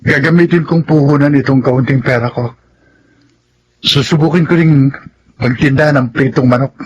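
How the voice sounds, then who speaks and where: A man's voice: mid-range voice, moderate pace, breathy edge, dull audio, natural voice. A man with a Filipino accent playing a remorseful, hopeful ex-convict father in a radio drama, earnest and respectful. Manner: earnest, respectful to his mother. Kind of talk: Tagalog radio drama acting.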